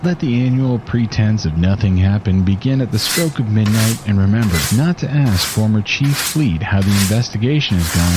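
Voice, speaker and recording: An actor playing a gossipy, sneering late-night radio DJ who talks in crude, mocking, folksy banter. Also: male voice, fairly low pitch, noisy background, lightly acted.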